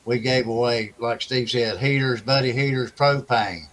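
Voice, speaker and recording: A man's voice: mid-range voice, fairly even intonation, moderate pace, fairly bright tone. An earnest, plainspoken man recalling experiences casually in a livestream chat. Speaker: amateur radio operator, livestream guest.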